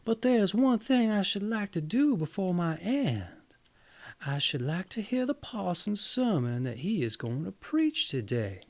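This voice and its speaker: A man's voice: sits high, sing-song, a little slow, pitched above the performer's own voice, full character voice. A man voicing a scheming farmer's wife in a storybook, dramatic, plaintive and fussy. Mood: feigned suffering.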